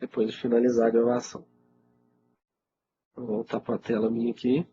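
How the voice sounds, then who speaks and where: A man's voice: mid-range voice, completely flat delivery, moderate pace. Congested Brazilian man, noisy lo-fi webinar. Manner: informal, conversational, frequently checks in with listeners. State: congested with a cold. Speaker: IT instructor answering webinar questions. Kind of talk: casual live webinar Q&A. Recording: dull lo-fi webinar audio, loud noise.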